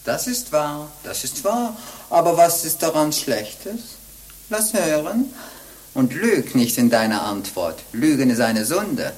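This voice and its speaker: An older actress playing a sly, jovial old bawd in a radio play, teasing and wheedling in a theatrical way. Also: female voice, low voice, very animated, slow pace, darkish tone, exaggerated voice. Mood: mock offended. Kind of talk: German radio play acting.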